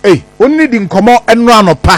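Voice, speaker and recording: A man with a Ghanaian accent interviewing on radio, halting and repeating words as he forms questions. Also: high-pitched, very animated, dry recording.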